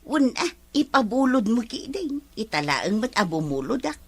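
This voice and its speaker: A woman's voice: a little high, sing-song, normal speed, slightly rough, exaggerated voice. A woman with an Ilocano accent playing a chatty, insistent village wife in a radio comedy drama. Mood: chatty, pleading.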